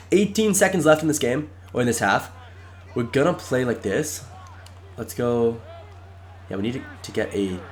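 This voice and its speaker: A congested man narrating his own video game match live, excitable and shouting at close plays. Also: mid-range voice. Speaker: gaming YouTuber. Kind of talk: live gameplay commentary.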